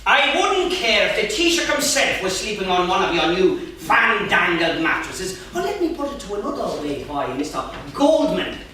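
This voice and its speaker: A man's voice: high-pitched, sing-song, moderate pace, hardly any pauses, pushed and bright, exaggerated voice. A man playing a blunt, prejudiced shop proprietor with a Cork Irish accent in a staged reading. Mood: dismissive, hostile. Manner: blunt, dismissive. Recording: echoey theatre from far off, faint noise.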